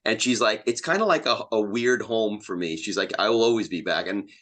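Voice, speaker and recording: A man's voice: on the low side, fairly quick, fairly bright tone. An American man in his fifties chatting casually and earnestly as an enthusiastic interview guest. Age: 50s. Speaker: community theater actor and playwright, podcast guest.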